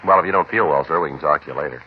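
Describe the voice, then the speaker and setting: An actor playing a terse, businesslike police detective in a 1950s radio drama, clipped, polite and matter-of-fact. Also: male voice, very deep voice, racing. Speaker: detective narrator and lead. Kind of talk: 1950s radio drama, documentary-like scripted narration. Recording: muffled, hissy old 1950s radio recording.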